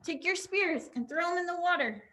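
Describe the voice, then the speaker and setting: A woman's voice: very high voice, lively intonation, brisk, bright, forceful tone. A young woman teacher leading a pantomime activity online, upbeat and encouraging as she explains each step.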